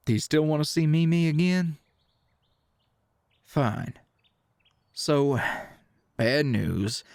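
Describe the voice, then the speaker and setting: An actor playing a friendly, awkward, protective rancher with a Southern US accent and hesitant, stammering, folksy speech in an ASMR roleplay. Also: male voice, medium pitch, creaky, no room sound, studio quality, exaggerated voice.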